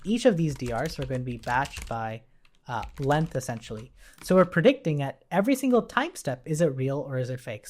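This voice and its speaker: A man's voice: on the high side, a little mellow. A man teaching in an informal, conversational way, explaining step by step as a coding instructor and often checking in as he goes. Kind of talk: informal technical tutorial walkthrough.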